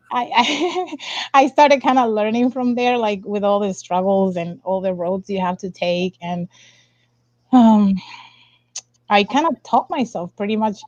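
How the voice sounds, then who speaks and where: A young woman from the Dominican Republic talks as a guest on a video call. Her pitch is average and her telling is informal and candid. The sound is lo-fi and a bit dull, with very little room in it. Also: darkish tone, dry recording. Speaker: interview guest, science communicator. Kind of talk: casual personal storytelling.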